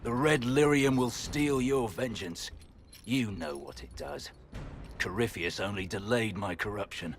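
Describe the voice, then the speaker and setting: An actor playing a bitter, defiant fallen knight and traitor general, cynical and scornful, in video game drama. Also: male voice, on the low side, unhurried, a little hiss or hum, close to an everyday voice. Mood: grim.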